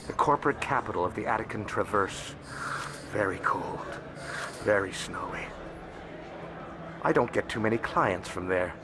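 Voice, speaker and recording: An actor voicing a courteous, worldly alien merchant in a video game, speaking formally and musingly. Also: male voice, lowish voice, animated, moderate pace, long pauses, background noise.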